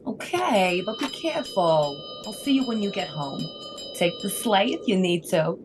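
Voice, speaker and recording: An actress playing a caring, homey wife who gently frets over her husband in an old-time radio comedy. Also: medium pitch, animated, normal speed, noisy background, close to an everyday voice. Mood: caring, concerned. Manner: solicitous, homey.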